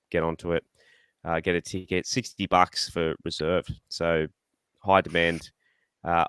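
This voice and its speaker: An Australian man hosting a casual fan podcast, rambling and excitable, his voice slightly croaky. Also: low-pitched, no room sound. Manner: rambling, excitable, self-deprecating. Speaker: podcast host.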